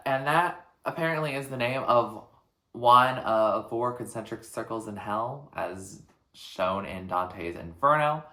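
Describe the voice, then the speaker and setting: A man giving casual reaction commentary as a music reaction host, speaking in a reflective, hesitant, halting way in an echoey room. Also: on the low side, bright, forceful tone.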